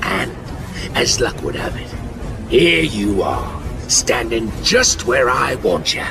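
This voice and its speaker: A man playing a smug, sinister collector villain who gloats with playful, gravelly menace. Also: medium pitch, very animated, slow pace, full character voice. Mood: gloating. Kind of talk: villain character acting. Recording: background music.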